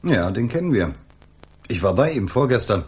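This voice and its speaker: A dutiful police detective in an eighties German radio play. His voice is very deep, and he speaks in a dry, understated, matter-of-fact way. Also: very animated, moderate pace. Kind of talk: 1980s German radio drama acting.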